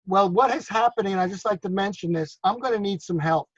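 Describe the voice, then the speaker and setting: A man's voice: high voice, fast, no echo. A man from New York on a video call, talking loosely about drone work, repeating words and asking others to agree. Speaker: drone business consultant. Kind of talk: casual video call discussion. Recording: dull lo-fi call audio.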